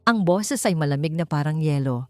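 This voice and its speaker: A woman narrating an audiobook romance story, speaking in an expressive storytelling manner that climbs higher at dramatic moments. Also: moderate pace, no room sound. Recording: faint background music.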